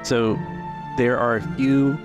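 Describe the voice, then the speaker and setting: A man working as game master, improvising narration for a tabletop roleplay with halting, measured phrasing. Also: mid-range voice, little pitch movement, lo-fi sound. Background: music.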